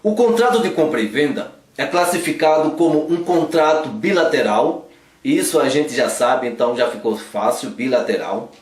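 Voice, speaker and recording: A man's voice: medium pitch, expressive, normal speed. A man from the Northeast, teaching law in a playful, joking way and teasing a sidekick. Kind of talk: joking casual law lesson. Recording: roomy echo, a bit far from the mic.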